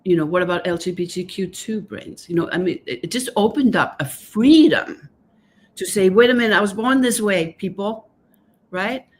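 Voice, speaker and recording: A woman's voice: mid-range voice, normal speed. A woman from Denmark with a Danish accent, a guest author on a live video call, explaining her ideas earnestly and often checking in with "right?". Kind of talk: online live conversation.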